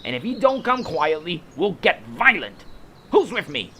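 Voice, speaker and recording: A man's voice: high voice, sing-song, normal speed, a crowd in the background. A man playing a resentful, whiny fantasy creature in an audio drama, griping and blustering as a would-be mutineer. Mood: defiant.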